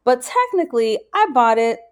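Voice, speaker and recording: A woman's voice: a little high, very animated, moderate pace. A woman in her thirties, raised in the American South but with no accent, chatting casually as a podcast co-host with trailing fillers.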